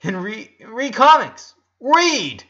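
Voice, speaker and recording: Guy doing awkward embarrassed kid boy, halting, bit higher, dull lo-fi mic. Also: very animated, sharp tone, full character voice. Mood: flustered. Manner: halting, nervous. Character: flustered, embarrassed eleven-year-old boy, shy and nervous.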